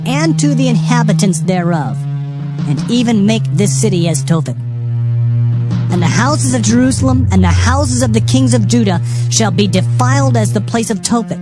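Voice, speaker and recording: Music plays under a solemn, declamatory male prophet. He is stern and anguished, pronouncing doom in an oratorical dramatized scripture style. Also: sits high. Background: background music.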